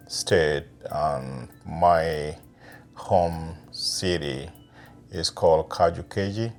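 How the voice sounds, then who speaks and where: A middle-aged man from South Sudan, speaking in a measured interview manner with pauses and fillers. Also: deep voice, darkish tone. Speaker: interviewee, community advocate. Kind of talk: reflective interview talk.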